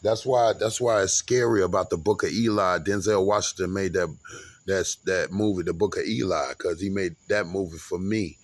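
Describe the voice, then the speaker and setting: Man, forties, lowish voice, rambling, grandiose, restarts a lot. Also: steady tone, normal speed, dry recording. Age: 40s. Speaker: vlogger. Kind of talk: rambling monologue.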